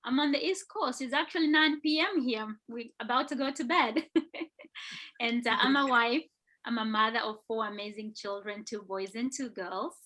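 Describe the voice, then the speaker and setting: A woman in her fifties introduces herself warmly to a panel audience. Her voice sits a little high and sounds bright, and she speaks in an upbeat way. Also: normal speed. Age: 50s. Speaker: nurse educator and panelist. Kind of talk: friendly self-introduction.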